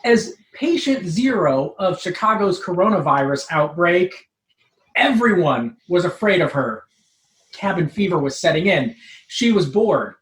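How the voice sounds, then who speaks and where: Animated woman reading in an echoey room. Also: fairly low pitch. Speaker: troupe member reading own comic story. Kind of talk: scripted comic narration, read aloud. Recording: roomy, echoing live room.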